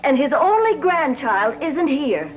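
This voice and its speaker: An actress playing an anxious, excitable mother in a gothic soap opera, with a fretful, dramatic delivery. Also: high-pitched, measured pace. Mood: agitated. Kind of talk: 1960s-70s gothic soap opera acting. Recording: dull old TV soundtrack, music behind.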